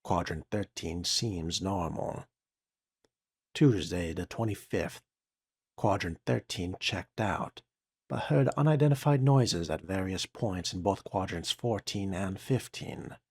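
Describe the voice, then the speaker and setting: A man narrating a role-playing game in character and reading documents aloud, with a measured, formal, dry delivery. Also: sits low, a little mellow, breathy edge, no room sound.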